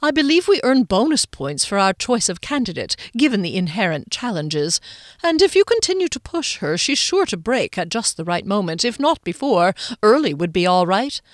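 A pompous gentleman schemer, put on by a woman reader, full of old-fashioned airs and brisk exasperation. His voice rides up and down with lively emphasis, heard dry and close. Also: medium pitch, no echo, lightly acted. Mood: scheming.

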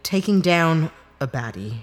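Brash, animated schemer. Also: female voice, deep voice, unhurried, faint music behind. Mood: calm, scheming. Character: brash, cunning disgraced female ex-narc, cool-headed schemer. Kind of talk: audio drama acting.

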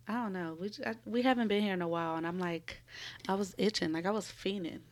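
A woman with a husky, cold-roughened voice talks into a microphone at home. She rambles, giggles and swears easily in a loose podcast chat. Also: medium pitch, fast. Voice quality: raspy. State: recovering from a cold. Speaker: podcast co-host. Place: home room. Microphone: studio microphone.

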